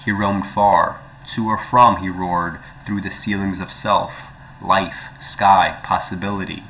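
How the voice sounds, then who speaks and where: A man reading poetry aloud as a dramatic recitation, with deliberate, emphatic phrasing in short bursts. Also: sits low, steady tone, a bit bright, rough recording. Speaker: poetry reader.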